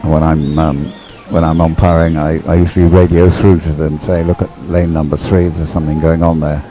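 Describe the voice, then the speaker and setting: A man's voice: a bass voice, level tone, brisk, warm tone. An older man giving conversational live race commentary, drifting into rambling explanatory asides. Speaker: sports commentator and race umpire.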